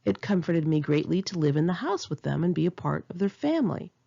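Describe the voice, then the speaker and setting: A woman's voice: fairly low pitch, very animated, fairly quick, vocal fry, warm tone. A woman reading her own memoir as an audiobook, in an earnest, emphatic, confessional manner, on a slightly dull home recording. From United States.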